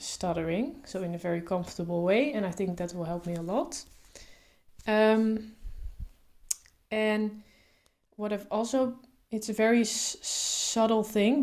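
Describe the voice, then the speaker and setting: A woman speaks calmly and thoughtfully, stuttering openly with repeated sounds and blocks. Her pitch stays flat and steady, with little rise or fall. She thinks aloud in a casual way. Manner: calm, reflective, thinks aloud with fillers. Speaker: vlogger. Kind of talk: casual talk to camera.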